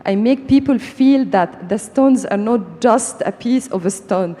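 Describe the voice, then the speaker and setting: Slow, halting woman in a reverberant hall. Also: on the high side, mellow. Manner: halting, hesitant delivery. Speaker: panelist, storytelling content creator. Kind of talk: panel talk. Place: large hall, on stage at a forum. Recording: reverberant hall, stage sound.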